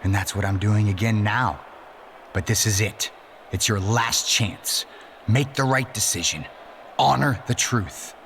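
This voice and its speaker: An actor playing a menacing, self-righteous messianic wrestling villain in a video game, delivering a deliberate, pompous monologue that persuades and threatens. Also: male voice, on the low side, fairly bright tone, a little hiss or hum. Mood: cold menace. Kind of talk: dramatic scripted monologue.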